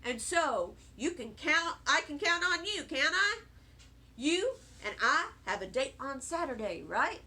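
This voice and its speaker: A woman's voice: sits high, sing-song, a little slow, pushed and bright, full character voice. A woman voicing an easygoing, playful old grandfather with a folksy, teasing manner in a storybook reading. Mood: fond. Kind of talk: children's storybook read aloud.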